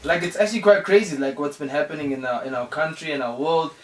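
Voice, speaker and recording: A man with a South African accent hosting a casual interview show, informal and excitable, often saying "man" and "like". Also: on the high side, quick pace, recorded from a distance. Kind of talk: casual radio interview chat. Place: studio. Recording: echoey room, off-mic, background noise.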